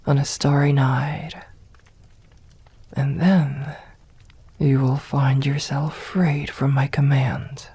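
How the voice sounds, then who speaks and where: A woman voicing a haughty, seductive, possessive vampire mistress in an ASMR roleplay, speaking softly in formal, condescending phrases, often close to a whisper. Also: low voice, full character voice. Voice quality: breathy, soft, often whispered. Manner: slow, deliberate, condescending, formal old-fashioned diction. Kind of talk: ASMR roleplay, scripted acting.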